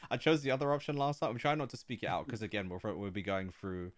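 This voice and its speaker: A man's voice: lowish voice, lively intonation, brisk, no room sound. A man hosting a casual livestream, eager and chatty, laughing often as he talks with his guest. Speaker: livestream host.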